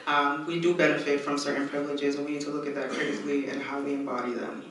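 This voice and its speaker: Flat-voiced man, distant in a hall. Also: fairly high pitch, quick pace, slight background noise. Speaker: panelist. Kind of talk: thoughtful panel remarks. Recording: echoey hall, far from the mic.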